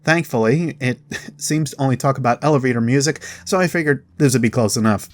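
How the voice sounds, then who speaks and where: A man hosting a game lore video, delivering narrated commentary directly to viewers with dramatic pauses. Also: mid-range voice. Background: background music.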